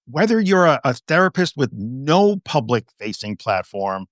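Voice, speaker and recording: A man's voice: medium pitch, very animated, a little slow, no room sound, studio quality. A man speaking as a therapist guest in a conversational interview, frank and emphatic.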